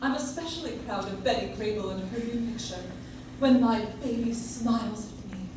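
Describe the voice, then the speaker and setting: A woman's voice: on the high side, normal speed, lightly acted. An actress playing an enthusiastic lady drama coach in a scripted 1940s radio advertisement. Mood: gushing. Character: gushing lady drama coach endorsing soap flakes. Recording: echoey, distant, noisy audience-member recording.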